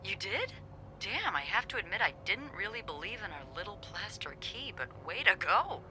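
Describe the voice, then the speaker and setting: An actress playing a sardonic, playful young hotel receptionist in a mystery video game, teasing and expressive in casual conversation. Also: female voice, mid-range voice, moderate pace, over music, lightly acted. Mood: wry, playful.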